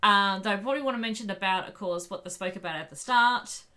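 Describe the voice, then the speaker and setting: A woman's voice: medium pitch, fast talker, sharp tone. A woman co-hosting a podcast in a casual fan discussion. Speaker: podcast co-host.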